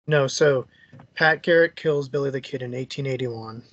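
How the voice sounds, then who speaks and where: A man's voice: mid-range voice, moderate pace, fairly bright tone, muffled recording. A man chatting casually as a podcast guest, explaining things in a conversational way. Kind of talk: casual chat, explaining local history.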